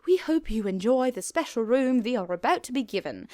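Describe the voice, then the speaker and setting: A woman's voice: fairly high pitch, animated, fairly quick, darkish tone, no echo, studio quality, natural voice. A woman voicing a regal pony princess who speaks in a stately, commanding, archaic manner. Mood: regal, insistent.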